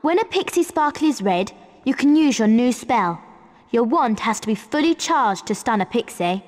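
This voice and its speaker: A woman's voice: a little high, expressive, normal speed, long reverb tail, lightly acted. A woman voicing a keen, bossy young schoolgirl witch in a video game, earnest and instructive. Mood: brisk, instructive. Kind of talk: video game character acting.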